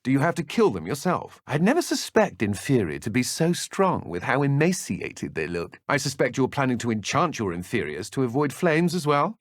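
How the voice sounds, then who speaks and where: An actor voicing a callous, arrogant dark wizard henchman in a video game, with a British accent and a haughty, sardonic manner. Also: medium pitch, dry recording, clean studio sound. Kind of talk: video game villain dialogue.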